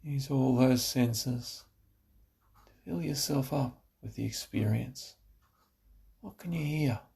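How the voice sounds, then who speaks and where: A man narrating a scripted guided relaxation, giving slow, instructive phrases with pauses. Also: mid-range voice, gravelly, mellow.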